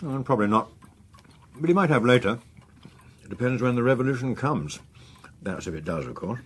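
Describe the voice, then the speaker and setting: An actor playing a suave veteran politician in a radio drama, urbane, measured and drily understated. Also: male voice, mid-range voice, lively intonation, slightly rough. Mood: dry, calculating. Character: suave, unflappable veteran politician and chief whip.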